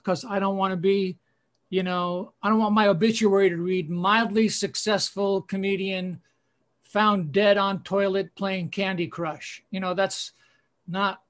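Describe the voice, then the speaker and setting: A man in his sixties doing a rambling, self-deprecating stand-up routine over a webcam video call, often halting with fillers. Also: high voice. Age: 60s. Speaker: stand-up comedian.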